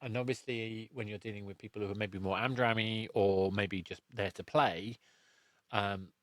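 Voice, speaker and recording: A man's voice: on the low side, steady tone, moderate pace, fairly bright tone, dry recording. A man hosting a podcast, chatting casually in a rambling, reflective way. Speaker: podcast host and interviewer. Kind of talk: casual interview chat.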